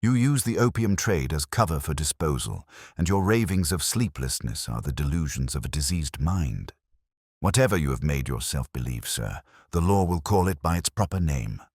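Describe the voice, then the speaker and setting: A man voicing a coolly analytical gentleman detective in an audiobook, stern, composed and precise. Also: a bass voice, lively intonation, moderate pace, husky, no room sound, studio quality, full character voice.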